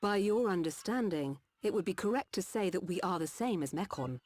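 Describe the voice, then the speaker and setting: A woman voicing a composed, caring mechanical-lifeform doctor in a game, speaking in a measured, formal, polite way. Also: on the low side, lively intonation, darkish tone, dry recording. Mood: calm, explanatory. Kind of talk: scripted game cutscene acting.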